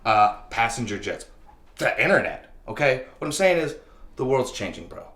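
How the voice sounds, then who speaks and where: An actor playing a scheming, deluded slacker who pitches startup ideas in a rambling, bro-ish way in a comedic audio drama. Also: male voice, very animated, normal speed, a bit bright. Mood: earnest, insistent. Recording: echoey room with music behind.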